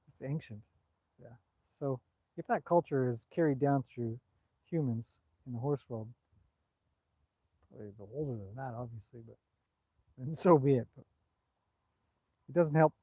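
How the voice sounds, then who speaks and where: A man's voice: medium pitch, no room sound, muffled recording. A man hosting a casual outdoor livestream, chatting loosely about his horses and answering viewer comments, recorded on a cell phone. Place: outdoors by a horse paddock on a bright day.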